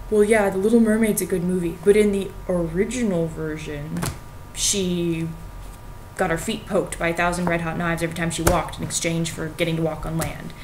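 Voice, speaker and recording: A woman's voice: on the low side, darkish tone, slight background noise, rough recording. A young adult woman gives an informal explanatory talk to viewers in a conversational, self-deprecating way with colloquial asides. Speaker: student making educational videos.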